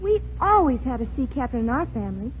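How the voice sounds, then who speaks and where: On a muffled, faintly hissing old radio recording, a young daughter in a high, very lively voice. She sounds earnest, girlish and stubbornly trusting, in 1940s radio drama style. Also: quick pace. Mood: proud, eager. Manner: earnest, girlish, wide-eyed.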